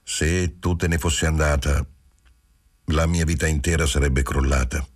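A man voicing a puzzled, uneasy young husband who speaks in a low, measured, coaxing way. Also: fairly even intonation. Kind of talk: audiobook dialogue reading.

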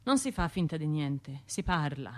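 An actress with a Tuscan accent playing a wounded, self-reproaching bombing survivor in an intimate radio drama monologue, halting and colloquial. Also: female voice, low voice, big pitch swings. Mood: defensive, troubled. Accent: Tuscan Italian. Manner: halting, colloquial, shifting between murmurs and outbursts.

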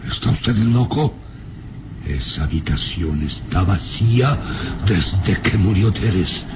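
A man's voice: low voice, animated, slow pace, background noise. An actor playing a menacing, imperious baron mourning his beloved in a melodramatic old radio serial. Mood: furious, accusing.

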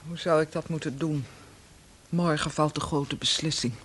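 A woman's voice: deep voice, fairly even intonation, normal speed, a little hiss or hum, lightly acted. An actress playing an overwrought, sarcastic wife and desperate mother in a Dutch radio play. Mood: resigned, desperate. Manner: sarcastic, taunting, emotional.

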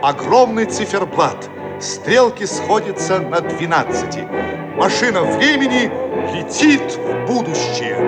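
A man narrating a radio play in a declamatory, solemn announcer manner. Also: sits high. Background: loud music.

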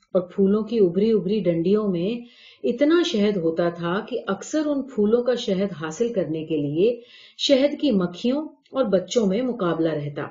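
Female audiobook narrator, mellow dark tone, scripted literary storytelling, slightly muffled recording. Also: mid-range voice.

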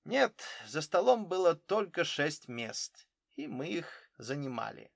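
A man's voice: fairly high pitch, very animated, slow pace, vocal fry, no room sound, studio quality, exaggerated voice. Man reading as careful German footballer witness. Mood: careful, cooperative. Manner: careful, literal answers. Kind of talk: audiobook character dialogue.

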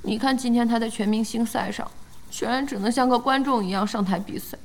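A woman playing an outspoken, emotional young gaming fan in a radio drama, earnest and expressive. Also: a little high, brisk, natural voice. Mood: upset. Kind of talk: Chinese radio drama acting. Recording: music behind the voice.

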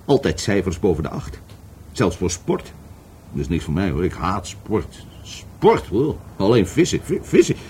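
A man's voice: low-pitched, huge pitch swings, a little slow, mellow, slight background noise, exaggerated voice. An actor in a Dutch radio play playing a drunken, bitter divorced man who rambles, stammers and repeats himself. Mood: rambling, animated. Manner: stammering, repetitive, tipsy.

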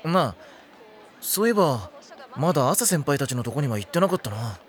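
A man's voice: mid-range voice, sing-song, a little fast, close to an everyday voice. A man voicing a caring but wry teenage schoolboy in an anime audio drama, a casual straight man. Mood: wry, resigned.

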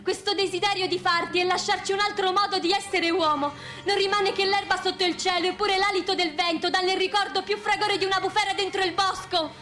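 Very high-voiced grieving widow. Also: female voice, steady tone, a little fast, few pauses, sharp tone. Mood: lyrical, proud. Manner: poetic, recitative. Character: grieving, proud widow of a worker, lyrical. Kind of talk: Italian theatrical radio drama monologue.

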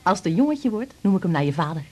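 Defiant young woman in radio play, raspy, quick talker, combative. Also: on the low side, big pitch swings, a little mellow. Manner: combative, emphatic. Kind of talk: naturalistic radio drama acting.

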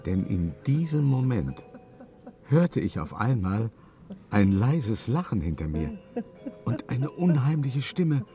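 A male actor playing an uneasy, haughty opera-goer who recounts events as a measured, suspenseful first-person radio drama narration. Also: medium pitch, big pitch swings, mellow, faint music behind. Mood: tense, frightened.

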